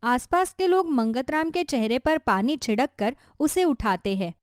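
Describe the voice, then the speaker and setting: A woman's voice: sits high, sing-song, a little slow, no echo. Woman narrating a cartoon story, dry sound.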